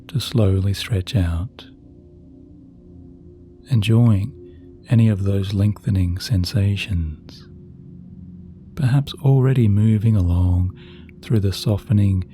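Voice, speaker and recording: A man narrating a sleep meditation in a soft, gentle, soothing voice with slow, measured phrasing. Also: fairly low pitch. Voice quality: soft, very dark and mellow. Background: relaxing background music.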